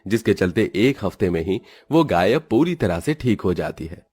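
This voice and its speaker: A man narrating a cartoon moral story in a steady, dramatic storytelling style. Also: on the low side, moderate pace, slightly rough.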